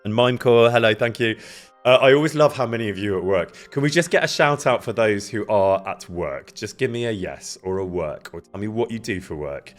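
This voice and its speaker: A man casually chatting with his live-stream viewers, greeting them by name and laughing often, with a loud voice that carries. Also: fairly low pitch, lively intonation, fairly quick, faint music behind. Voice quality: loud, carrying voice. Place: indoor room near an event space.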